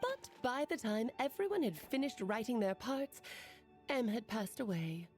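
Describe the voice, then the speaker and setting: Theatrical, high-voiced playful witch auntie. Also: sing-song, moderate pace, a little mellow, soft background music. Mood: storytelling. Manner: theatrical, flourishing storyteller. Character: Alice, playful theatrical grown-up witch, breezy eccentric auntie. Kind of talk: anime video game voice acting.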